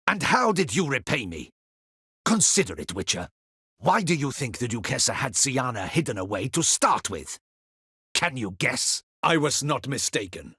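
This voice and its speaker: An actor playing a stern, proud, dutiful captain of a ducal guard in a fantasy video game, with a formal, clipped, haughty delivery and a husky voice. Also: male voice, medium pitch, big pitch swings, moderate pace, pushed and bright, no room sound, studio quality, heavily acted. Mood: contemptuous, threatening.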